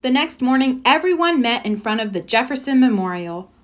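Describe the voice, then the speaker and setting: Female children's storybook narrator, high, animated, expressive read-aloud. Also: brisk. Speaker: storybook reader.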